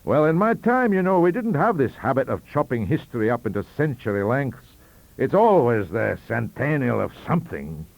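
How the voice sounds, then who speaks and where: A man's voice: big pitch swings, creaky, dry recording, low-quality recording. A man voicing the hearty, hard-drinking ghost of an old Victorian statesman in a storybook reading. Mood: genial. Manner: booming, hearty, brisk.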